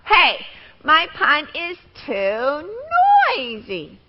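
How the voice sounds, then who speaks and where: A fed-up frog from a storybook, played by a woman, complains in a fairly high voice. The words are stretched out very slowly and theatrically, with a slightly dull sound. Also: big pitch swings, full character voice. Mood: complaining. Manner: drawn-out, exaggerated complaining. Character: frog fed up with its noisy pond.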